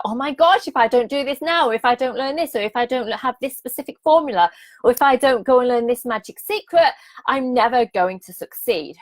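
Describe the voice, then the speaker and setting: A slightly croaky woman in her thirties with a fairly high voice coaches her viewers on a livestream. She is upbeat and repeats her points, keeps asking the audience to drop comments, and laughs easily. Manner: enthusiastic, repetitive, invites comments, laughs often. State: a bit croaky. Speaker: business coach hosting a live challenge. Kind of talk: live-streamed coaching talk.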